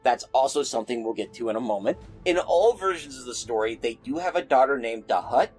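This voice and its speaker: A man's voice: medium pitch, breathy edge, low-quality recording. Wry man telling folklore. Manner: wry, joking asides. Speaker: folklore storyteller. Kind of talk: casual storytelling narration.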